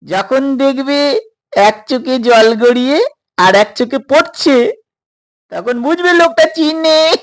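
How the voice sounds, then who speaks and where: A sly, jovial stranger from a suspense audio story. The voice is pitched far up and stretches its words slowly in a wheedling, teasing way. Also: male voice, lively intonation, full character voice. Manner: drawn-out, wheedling delivery with twisting chuckles. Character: sly, jovial Chinese stranger luring young boys.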